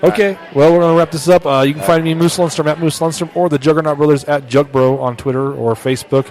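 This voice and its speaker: A man's voice: fairly high pitch, fairly even intonation, fast talker, darkish tone. A man hosting a casual comedy podcast, rambling and stumbling over restarts as he talks. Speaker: podcast host.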